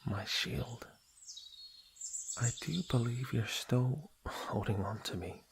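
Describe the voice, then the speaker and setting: A man acting a playful, flirtatious fantasy lord in an intimate ASMR roleplay, with breathy murmurs and birdsong effects behind him. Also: medium pitch, takes it slowly. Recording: clear background noise with birdsong effects. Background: birdsong and outdoor nature sound effects.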